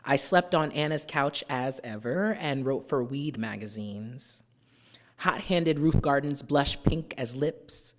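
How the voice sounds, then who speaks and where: An American woman from New Jersey giving a poetry reading, chatting through her introductions with many fillers and reading the verse slowly and with care. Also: extremely low pitch. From New Jersey, USA. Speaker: poet. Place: university symposium venue.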